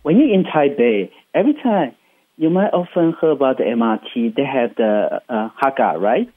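Radio guest: Taiwanese male, native Chinese speaker, halting but keen English, mid pitch, dry narrow-band sound. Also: lively intonation, dry recording. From Hsinchu, Taiwan. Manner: enthusiastic, explanatory, halting second-language English. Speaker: interview guest, travel writer and radio host. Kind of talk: chatty radio interview. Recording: muffled, phone-like radio sound.

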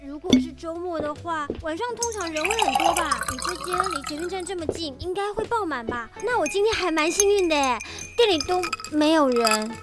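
A very high, bubbly voice of a chatty young woman, as an actress plays her in an audio drama. She talks on and on, bright and excitable, over background music. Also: a little fast, few pauses. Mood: chatty, excited. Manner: bubbly, talkative, flustered when teased. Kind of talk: amateur audio drama acting.

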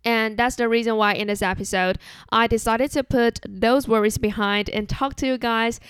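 A woman's voice: fairly high pitch, smooth, no echo. Woman with a Chinese accent, earnest podcaster. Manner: earnest, measured, relaxed and conversational. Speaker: podcast host. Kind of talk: solo podcast monologue.

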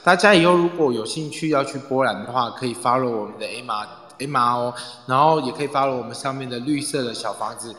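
A man's voice: medium pitch, a little fast, a bit bright. A man hosting an online audio club, speaking casually as he gives closing thanks and introductions. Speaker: club president and host. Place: online group audio chat room. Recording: roomy, reverberant online voice-chat audio.

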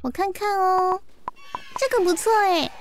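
A woman's voice: very high-pitched, a little slow, over loud music. A woman voicing a bold, cheeky young daughter in a radio drama, blunt and childlike, with a Taiwanese accent. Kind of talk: Mandarin radio drama acting.

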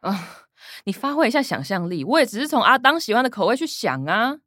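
A woman playing a cynical, calculating young granddaughter in a radio drama, sardonic and expressive, with a Taiwanese accent. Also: on the high side, brisk, no room sound, studio quality, full character voice. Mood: excited. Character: young adult granddaughter, cynical, calculating, sharp-tongued schemer.

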